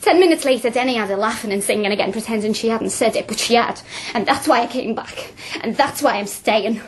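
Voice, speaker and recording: A woman's voice: on the high side, a little fast, breathy edge. An actress playing a spirited working-class woman of twenty-six in a radio drama, speaking colloquially and insistently. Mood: reflective, wistful. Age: young adult.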